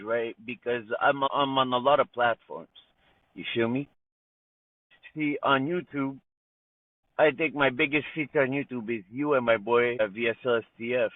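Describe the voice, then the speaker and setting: A man's voice: medium pitch, no room sound. A man from Montreal, Canada, a rapper talking casually in an interview, heavy on slang and "you know". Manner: laid-back slang, frequent "you know", "man", "bro". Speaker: rapper guest. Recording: tinny phone-like call line.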